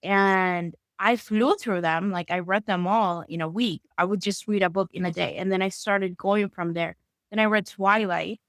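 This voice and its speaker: A woman's voice: medium pitch, steady tone, moderate pace, no echo, studio quality. A woman in her thirties from Venezuela, a native Spanish speaker, telling a friendly story as a guest author. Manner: friendly, storytelling, uneven pace. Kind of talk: casual interview chat.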